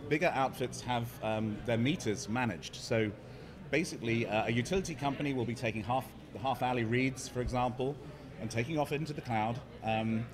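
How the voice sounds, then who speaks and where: Man, English accent, middle-aged, fast, explanatory, crowded conference hall. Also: mid-range voice. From England. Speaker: startup CEO guest. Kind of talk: conference interview. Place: busy hotel conference hall, indoors. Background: crowd chatter.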